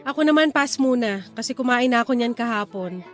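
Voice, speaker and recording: Woman playing loving filipino mom in a radio drama, high voice, music behind her. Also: lively intonation, fairly quick, natural voice. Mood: teasing, fond. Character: loving, weary Filipino wife and mother. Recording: music bed under the voice.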